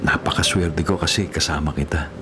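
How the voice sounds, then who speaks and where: A man's voice: very deep voice, fairly even intonation, brisk, music in the background. A man acting in a radio drama as a poor, lovestruck gardener, playful and humble yet earnest. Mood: tender, romantic. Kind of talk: Tagalog radio drama acting with inner monologue.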